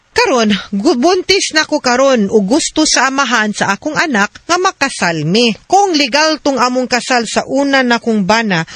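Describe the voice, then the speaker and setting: Bright, high-voiced Filipina radio advice host. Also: female voice, no room sound. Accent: Filipino, Cebuano. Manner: code-switches into English, direct and explanatory. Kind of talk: conversational radio advice, reading listener letters and answering. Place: radio production studio.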